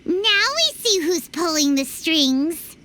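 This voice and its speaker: An actress playing a tiny, cheeky, childlike fairy companion in a video game, with a squeaky voice. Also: female voice, sing-song, slow pace, bright, forceful tone, full character voice. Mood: whiny, puzzled.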